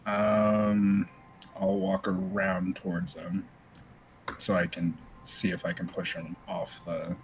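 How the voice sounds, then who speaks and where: A man's voice: deep voice, level tone, measured pace, slight background noise, rough recording. A man chatting casually as a player in a tabletop game.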